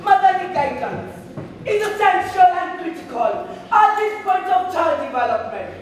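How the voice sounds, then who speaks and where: A woman's voice: extremely high pitch, sing-song, normal speed, faint music behind. A young woman student actor playing an aggrieved, melodramatic woman, plaintive and emphatic on stage. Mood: pleading, defiant. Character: aggrieved, melodramatic woman pleading her case. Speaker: student actor in a school play. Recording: distant echoey hall, faint noise.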